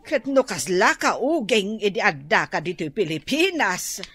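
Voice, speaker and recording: A woman's voice: medium pitch, big pitch swings, measured pace, fairly bright tone, husky, dry recording, soft background music, exaggerated voice. A woman acting a bossy, wheedling auntie in a radio comedy drama, full of exclamations, with an Ilocano accent.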